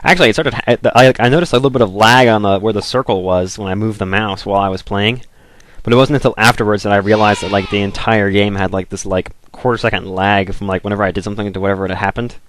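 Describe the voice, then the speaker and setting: A young man from the southern United States talks through a computer game in a loose, rambling way, leaning on "like" and restarting his sentences. His voice sits on the low side. The sound is dry and close, with no room echo. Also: fairly bright tone. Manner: rambling, filler-heavy, restarts, frequent "like". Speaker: gaming video commentator. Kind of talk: casual game commentary.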